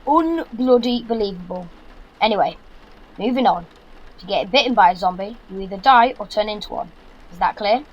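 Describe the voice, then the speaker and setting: High-voiced sarcastic Yorkshire teenage lad. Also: male voice, lively intonation, moderate pace, lo-fi sound. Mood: lecturing. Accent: Yorkshire English. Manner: sarcastic, blunt. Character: sarcastic, exasperated fifteen-year-old son, keen gamer. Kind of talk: naturalistic audio drama acting.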